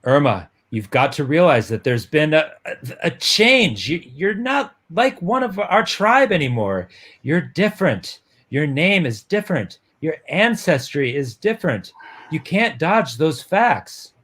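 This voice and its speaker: A man playing a lovestruck, hot-headed young boyfriend in a romance comic reading, with halting, melodramatic delivery. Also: a little high, big pitch swings, a bit bright, slightly rough, heavily acted. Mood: troubled, halting.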